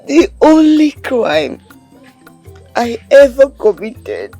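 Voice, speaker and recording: A woman's voice: high-pitched, expressive, moderate pace. An actress with a Nigerian Igbo accent playing a meek, grieving orphaned young woman in a film melodrama, pleading tearfully. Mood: tearful, despairing. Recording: film dialogue with music behind.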